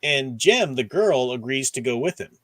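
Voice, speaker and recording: A man with an average-pitched voice, recorded close and dry. He talks as a stream host, recapping and commenting informally. His delivery is conversational and keen, like a fan sharing an interest. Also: animated, normal speed, a bit bright, no room sound. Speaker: host of a book discussion stream. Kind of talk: casual discussion and plot recap.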